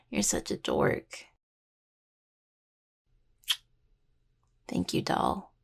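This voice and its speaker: A woman playing a grieving, tender young necromancer in an intimate audio roleplay, speaking haltingly and apologetically to a partner. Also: mid-range voice, huge pitch swings, vocal fry, warm tone, husky, heavily acted. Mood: shy, grateful.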